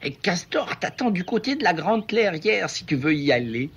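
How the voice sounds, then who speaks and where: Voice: medium pitch, big pitch swings, moderate pace, husky. An actor voicing a sly, boastful male trickster animal in a cartoon, with a wheedling, mock-gallant manner. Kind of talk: French cartoon dub acting.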